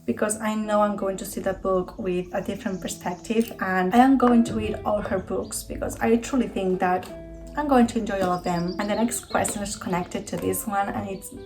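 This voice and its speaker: A woman's voice: mid-range voice, steady tone, moderate pace, a little mellow, faint music behind, low-quality recording. A woman from Spain with a Spanish accent, talking casually and eagerly as a book vlogger, often correcting herself. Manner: chatty, enthusiastic, self-correcting. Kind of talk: casual book review talk.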